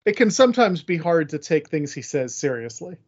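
A man's voice: on the high side, very animated, fairly quick, darkish tone, slightly rough, lo-fi sound. A man hosting a casual discussion podcast, conversational and wry as he moderates. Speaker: podcast host.

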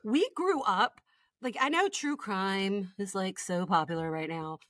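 A woman's voice: mid-range voice, lively intonation, measured pace, a bit bright, no room sound, studio quality. A woman in her forties with a Texan accent, co-hosting a podcast in casual, comedic chat with informal, emphatic banter. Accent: Texan American. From West Texas, United States. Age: 40s. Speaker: podcast co-host.

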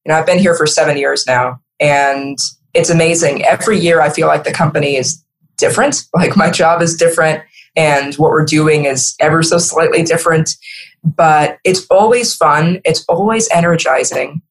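A woman's voice: sits low, normal speed, rough recording. A woman, middle-aged, speaking earnestly and emphatically as a guest in a conversational podcast interview. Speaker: interview guest, marketing executive.

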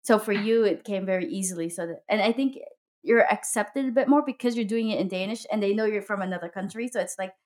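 A woman's voice: medium pitch, fast talker, a little mellow. A woman interviewing a guest in casual chat, friendly, laughing often and trailing off mid-sentence.

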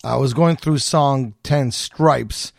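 A man's voice: mid-range voice, slow pace, gravelly, dry recording, studio quality. A man interviewing a singer in a casual, chatty way with many fillers.

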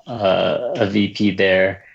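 A reserved, soft-spoken man from the United States, answering questions about his books in a casual interview with many fillers and restarts. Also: low-pitched, steady tone, slow pace, vocal fry, dull audio. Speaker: children's book author, guest.

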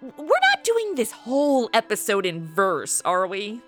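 A woman's voice: a little high, huge pitch swings, measured pace, fairly bright tone, faint music behind. A woman hosting and acting in a playful radio comedy, with a perky, modern, slangy delivery. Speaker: show host and lead actress.

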